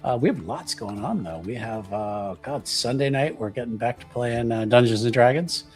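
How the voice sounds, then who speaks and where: A man's voice: medium pitch, normal speed, darkish tone, faint music behind. A man chatting casually as player and host of a roleplaying livestream, with hesitant, stop-and-start phrasing. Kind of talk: casual livestream game chat.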